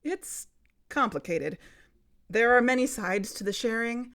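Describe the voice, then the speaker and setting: An earnest investigator, close and dry. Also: female voice, on the high side, animated, measured pace, fairly bright tone, dry recording. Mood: earnest, concerned. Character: earnest, questioning woman investigator. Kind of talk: naturalistic audio drama dialogue.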